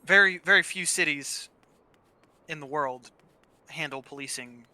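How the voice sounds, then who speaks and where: A man's voice: a little high, unhurried, pushed and bright. A man chatting casually during gameplay, telling stories in a blunt way with some swearing. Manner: blunt, profane, storytelling. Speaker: gaming channel co-player.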